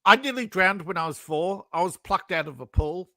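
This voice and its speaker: A man's voice: high-pitched, lively intonation, moderate pace, sharp tone, a little hiss or hum. A man in his fifties in a casual group chat, chuckling often and ranting in bursts about his views. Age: 50s. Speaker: participant in an online group chat.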